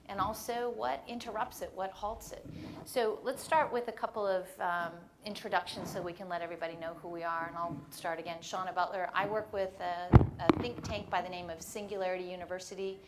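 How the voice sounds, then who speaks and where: Low-voiced woman moderating a panel. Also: fairly quick, noisy background. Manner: energetic, encouraging, many fillers. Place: conference stage before an audience.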